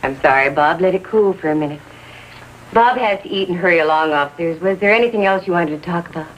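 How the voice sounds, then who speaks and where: A woman's voice: lowish voice, quick pace, natural voice. An actress playing a doting, trusting mother in 1950s TV drama style, polite and earnest. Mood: fussing, attentive. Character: doting, trusting mother of a teenage gang leader. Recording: dull hissy 1950s TV soundtrack.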